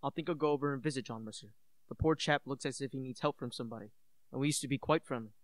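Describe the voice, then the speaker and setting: A teenage male student actor playing a sensible, dismissive gentleman in a radio play, brisk and matter-of-fact. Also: mid-range voice, no echo, natural voice. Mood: concerned. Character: sensible, dismissive gentleman, loyal old friend.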